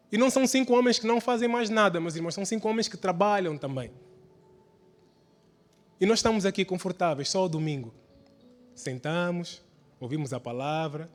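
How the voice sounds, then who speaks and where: Male preacher, high-pitched voice, exhorting and repetitive sermon delivery, long hall reverb. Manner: exhorting, repetitive, rhetorical questions. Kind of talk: church sermon. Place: large church hall during a service.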